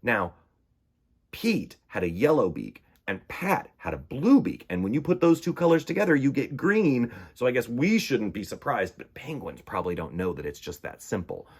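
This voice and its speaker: A man hosting a children's storytime, reading picture books and chatting in simple, expressive, conversational language. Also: mid-range voice, normal speed, slightly rough. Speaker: host of a children's storytime show.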